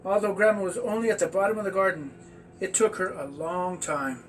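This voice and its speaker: A man telling a children's story aloud in a halting, stumbling way. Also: sits high, steady tone. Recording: distant mic with faint background noise.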